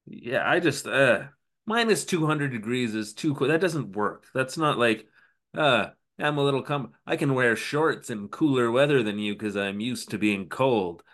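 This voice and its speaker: A man's voice: medium pitch, lively intonation, unhurried, fairly bright tone. A man co-hosting a podcast, recapping an old TV episode in casual, sarcastic chat. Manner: sarcastic, recapping scenes with quoted asides. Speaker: podcast co-host.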